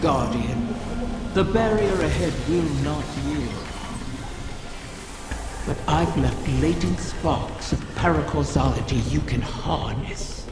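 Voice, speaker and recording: A woman's voice: sits low, animated, slow pace, exaggerated voice. A woman voicing an ancient female dragon, a menacing, proud and bitter mother who speaks in grandiose, taunting phrases in a fantasy video game, with a cavernous creature effect. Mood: cold, measured. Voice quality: gravelly, creaky. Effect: cavernous reverberating creature effect. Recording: long echoing reverb, music behind.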